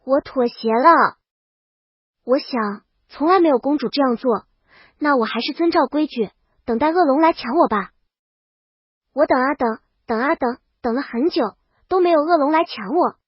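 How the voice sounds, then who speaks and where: Woman storyteller, high voice, comic first-person narration, very dry but dull lo-fi sound. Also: moderate pace, dry recording. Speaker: audiobook narrator.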